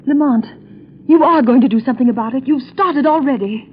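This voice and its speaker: A woman plays a poised, concerned society companion in an old radio mystery. Her voice is fairly high and quick, genteel and earnest. It comes through a thin, narrow recording with faint noise. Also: natural voice. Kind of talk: 1940s radio acting.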